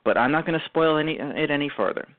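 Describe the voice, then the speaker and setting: A man hosting a radio show, reading a formal, wordy introduction with halting stumbles. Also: a little high. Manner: formal, elaborate announcer phrasing with halting stumbles. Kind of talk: live scripted show introduction. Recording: tinny phone-like internet radio line.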